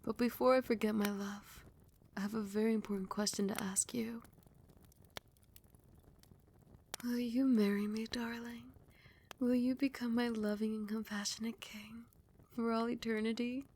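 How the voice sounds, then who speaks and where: A woman voicing a loving, protective vampire queen in an intimate ASMR roleplay, breathy and often sinking into a whisper, soothing and doting. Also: fairly high pitch, little pitch movement, moderate pace, darkish tone, slight background noise, lightly acted. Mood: earnest, loving. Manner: doting, soothing, affectionate pet names.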